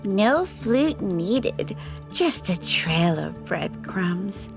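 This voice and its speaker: A woman voicing a playful, flirtatious woman, a vampire's lover, in an audio drama, teasing and unhurried as she tells her stories. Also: medium pitch, big pitch swings, gravelly, heavily acted. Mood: teasing, confiding. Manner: teasing, unhurried storytelling with frequent laughter. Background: background music.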